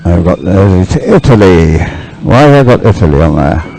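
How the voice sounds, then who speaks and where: A man's voice: low voice, big pitch swings, normal speed, vocal fry, mellow, soft background music, low-quality recording. A man giving live race commentary as a sports commentator, in a hesitant way, as if thinking aloud.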